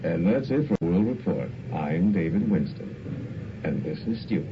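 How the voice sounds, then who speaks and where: A dull recording with faint noise carries a polished male news anchor. His low voice has swooping announcer intonation. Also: expressive, unhurried. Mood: rehearsed. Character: robotically obedient male television news anchorman. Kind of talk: newsreader sign-off in radio drama.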